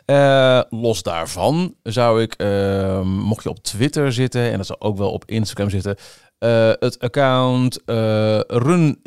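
A man's voice: fairly low pitch, slow pace, few pauses, a little mellow, clear, resonant tone, dry recording, studio quality. A man co-hosting a podcast in casual chat, often hesitating as he searches for words. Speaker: podcast co-host.

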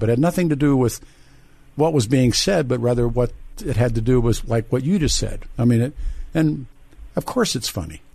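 A man's voice: mid-range voice, gravelly, mellow, no room sound. A man hosting a radio call-in show, talking casually and repeating phrases for emphasis. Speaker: radio talk show host.

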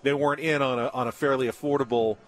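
A man's voice: mid-range voice, steady tone, fast talker. A man hosting live sports talk radio from a remote broadcast. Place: live remote broadcast at a baseball spring training site.

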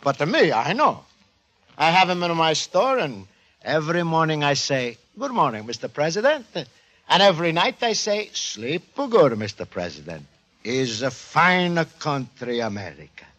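An actor playing a humble, earnest Italian immigrant shopkeeper with an Italian accent and broken English, in 1940s radio style. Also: male voice, fairly high pitch, big pitch swings, normal speed, a bit bright. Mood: earnest, heartfelt. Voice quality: broken English with added vowels. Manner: earnest, guileless. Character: warm, earnest Italian immigrant antique dealer, humble and proud. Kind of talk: 1940s radio comedy-drama acting.

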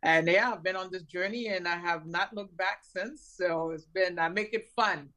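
A woman's voice: fairly low pitch, normal speed. A woman in her forties from Haiti, a guest on an interview show, talking about her career in an enthusiastic, chatty way with frequent laughs. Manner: warm, enthusiastic, laughs often, many fillers. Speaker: guest, cybersecurity company founder. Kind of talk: conversational interview.

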